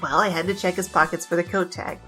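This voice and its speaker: A woman's voice: on the low side, fairly even intonation, fast talker, pushed and bright. A woman playing a glib, flirtatious bandit who confesses and argues in a comedic noir audio drama. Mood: brisk storytelling. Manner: glib, digressive, argumentative. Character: brash, chatty, flirtatious female bandit king confessing her crimes. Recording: music bed under the voice.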